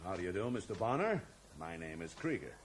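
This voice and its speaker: Businesslike investigator, lively pitch. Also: male voice, brisk. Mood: courteous. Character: cool, businesslike American male investigator. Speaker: actor. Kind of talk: 1980s radio drama acting. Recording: faintly noisy 1980s radio recording.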